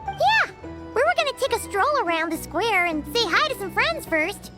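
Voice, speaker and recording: A woman playing a tiny, chirpy, nosy fairy companion in a video game, with a squeaky, perky delivery. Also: big pitch swings, normal speed, over music. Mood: perky, curious. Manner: squeaky, perky, refers to herself by name.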